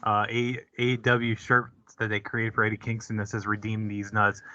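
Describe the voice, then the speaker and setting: Easygoing podcast host man, bright voice. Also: fairly low pitch, completely flat delivery, moderate pace. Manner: easygoing, agreeable, short backchannels. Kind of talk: casual podcast chat. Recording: dull lo-fi podcast audio, dry.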